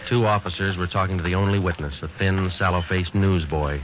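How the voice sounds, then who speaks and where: An actor playing a police sergeant who narrates a 1940s radio crime drama in a clipped, flat, matter-of-fact manner. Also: male voice, deep voice, a little fast, noisy background, lightly acted. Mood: terse. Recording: dull lo-fi 1940s radio broadcast.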